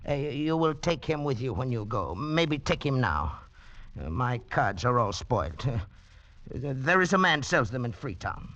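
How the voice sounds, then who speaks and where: A man's voice: mid-range voice, sing-song, a little slow, a bit bright. A shifty trader in an old radio play. His voice moves animatedly up and down, fussy and offhandedly callous. The vintage recording sounds dull. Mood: offhand, fussy. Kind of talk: old-time radio drama acting.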